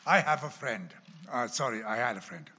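Welsh-accented preacher with theatrical swings. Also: male voice, mid-range voice, lively intonation, moderate pace. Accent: Welsh with Texan and Oklahoma traces. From Wales. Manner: dramatic, wide swings from loud emphasis to hushed asides. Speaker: pastor teaching a class. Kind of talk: sermon-style Bible teaching. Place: church. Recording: long hall reverb.

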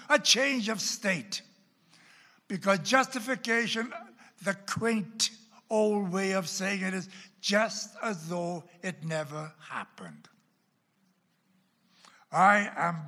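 Male Welsh pastor, Texan traces, lively sweeping pitch, long reverberant church hall. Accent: Welsh with Texan and Oklahoma traces. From Wales. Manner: dramatic, wide swings from loud emphasis to hushed asides. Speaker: pastor teaching a class. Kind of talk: sermon-style Bible teaching.

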